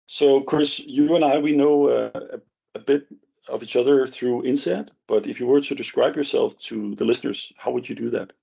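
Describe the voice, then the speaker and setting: A man hosts a casual podcast interview from an office, stumbling through fillers and repeated words. His voice is heavily gravelly with a husky edge, and the recording sounds dull and lo-fi. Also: medium pitch, normal speed, warm tone. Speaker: podcast host. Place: office overlooking the harbour.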